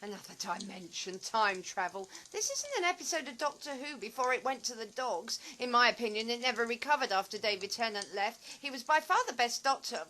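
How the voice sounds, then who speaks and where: An actress playing an eccentric, nervous former actress in a dystopian audio play, rambling with theatrical emphasis. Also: female voice, on the high side, animated, fairly quick, hardly any pauses, bright, forceful tone, slight background noise.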